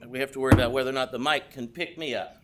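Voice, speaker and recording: A man, a past board chair, giving a formal tribute speech at a board meeting in a loud voice, explaining at length. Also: medium pitch, steady tone, rapid-fire, loud music behind the voice. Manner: explanatory, storytelling tone.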